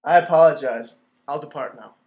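Radio comedy admiral, male performer: slightly high male voice, rambling, pompous, deadpan bluster. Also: brisk, natural voice. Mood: peeved, deadpan. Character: pompous, addled retired navy admiral and radio boss, male. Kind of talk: mock old-time radio play.

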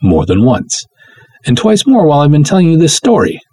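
Man reading as a plucky, slangy gal, husky and animated. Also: on the high side, a little fast, voice pushed up, full character voice. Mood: rattled, breathless suspense. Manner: animated, slangy, breezy storytelling. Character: plucky, jittery young woman recounting a spooky night. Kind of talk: dramatic first-person story reading with 1950s slang.

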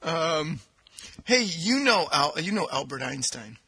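A man's voice: on the high side, very animated, takes it slowly, pushed and bright, husky, no room sound. A man co-hosting a podcast, chatting casually and telling jokes with a giggly manner. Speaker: podcast co-host.

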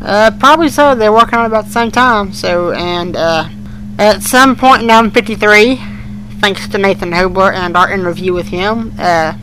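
A man co-hosting a fan podcast, chatting casually and haltingly as he thinks aloud. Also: sits high, sharp tone, slight background noise, low-quality recording. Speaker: podcast co-host.

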